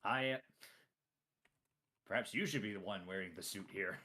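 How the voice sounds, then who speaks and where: A man in his late twenties with a voice pitched on the low side, chatting casually. He drops dry, wry jokes into the conversation while helping brainstorm. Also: normal speed, bright, forceful tone. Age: 20s. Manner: dry, wry joking asides. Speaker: friend helping write the campaign. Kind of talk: casual chat.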